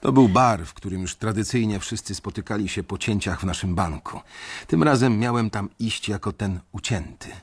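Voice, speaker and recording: A young bank analyst who narrates his own story in a radio play, wary and guarded. His low voice has a husky edge. He tells it in a restrained, measured way. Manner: restrained first-person narration.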